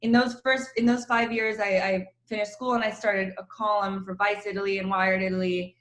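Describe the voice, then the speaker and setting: A woman's voice: medium pitch, level tone, moderate pace, a bit bright, reverberant, rough recording. A woman in her thirties from Croatia, an author chatting in an interview with a self-mocking, laughing manner. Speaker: author guest. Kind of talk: casual interview chat.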